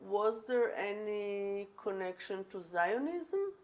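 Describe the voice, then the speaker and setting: A woman conducting an oral history interview, asking gentle, careful questions. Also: mid-range voice, big pitch swings, takes it slowly.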